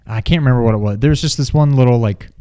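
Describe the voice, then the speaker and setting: A man co-hosting a movie review in informal, unscripted chat, often halting and trailing off mid-thought. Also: mid-range voice, mellow, clean tone. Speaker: film review co-host. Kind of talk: casual unscripted movie discussion.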